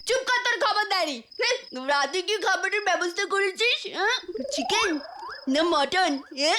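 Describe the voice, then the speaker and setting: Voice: extremely high pitch, lively intonation, moderate pace, noisy background. An actor voicing a bossy, whiny spoiled daughter in a comic cartoon, scolding imperiously with a Bengali accent. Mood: bossy demanding. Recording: loud music behind the voice.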